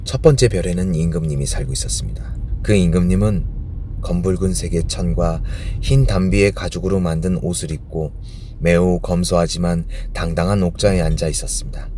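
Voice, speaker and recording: A man's voice: low voice, steady tone, fairly quick, mellow, slight background noise. A man reading a storybook as an audiobook narrator, in a bedtime narration style meant for sleep.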